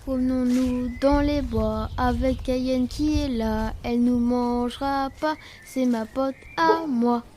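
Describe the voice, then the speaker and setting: A woman's voice: sits high, slow pace, hardly any pauses, warm tone, a little hiss or hum. A woman playing a cheerful, dreamy young schoolchild in an audio drama, with an eager, singsong childlike manner. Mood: dreamy, playful. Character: cheerful, chatty young schoolchild named Avi, dreamy and affectionate. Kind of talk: French audio fiction drama.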